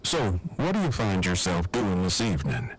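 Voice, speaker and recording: A man playing a formal, precise, dryly affectionate man in an audio drama, speaking in wordy deadpan phrases. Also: low-pitched, normal speed, soft background music, low-quality recording. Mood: dry, fond. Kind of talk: fan audio drama acting.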